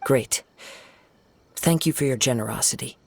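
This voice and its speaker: An actor playing a gentle, reflective young wandering swordsman in a video game, speaking in a measured, formal, contemplative way. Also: mid-range voice, creaky, very noisy, natural voice. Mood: polite, resolute.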